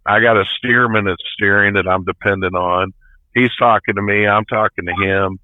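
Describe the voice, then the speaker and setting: Man, 50s, folksy trucker storyteller, lowish voice, thin phone-like sound. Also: steady tone, moderate pace, dry recording. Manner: plain-spoken, folksy. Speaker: truck driver, interview guest. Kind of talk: casual storytelling. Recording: tinny phone-like line.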